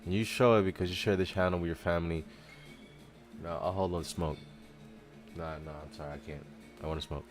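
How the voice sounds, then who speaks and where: A male streamer from the Dominican Republic talks in a relaxed, slangy way, often thanking his viewers. His voice sits low. Soft music plays quietly behind him. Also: brisk, long pauses. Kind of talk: casual live chat reacting to a video.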